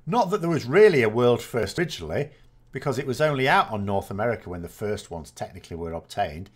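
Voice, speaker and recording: A man's voice: medium pitch, sing-song, quick pace, breathy edge. A man, chatting casually and rambling as a gaming host answering viewer questions, often correcting himself with dry self-mockery. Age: 40s.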